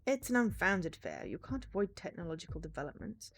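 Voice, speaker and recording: A woman's voice: medium pitch, very animated, fairly quick, gravelly, a little hiss or hum. A woman voicing a guarded, businesslike female investigator in a visual novel, questioning and matter-of-fact. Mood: serious, matter-of-fact.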